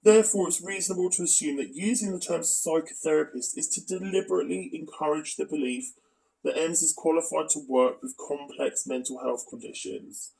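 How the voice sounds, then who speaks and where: Guy doing critical explainer commentary, gravelly creaky voice, sounds a bit away from the mic. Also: on the high side, fairly quick, darkish tone, far from the mic, poor audio. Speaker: video commentator.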